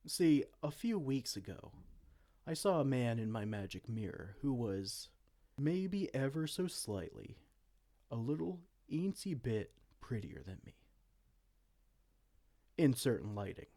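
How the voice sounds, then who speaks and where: A man playing a vain, silly, soft-hearted evil king in a comedic fantasy roleplay, pompous and theatrical but slipping into casual dorkiness. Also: medium pitch. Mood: vain, sheepish. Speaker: audio roleplay performer.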